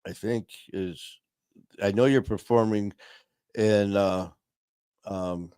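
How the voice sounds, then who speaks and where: A man hosting a casual live stream, talking warmly to viewers in a rambling way with many fillers and restarts. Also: lowish voice, fairly even intonation, slow pace, clear, resonant tone, dry recording. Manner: friendly and upbeat, addressing viewers. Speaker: livestream host.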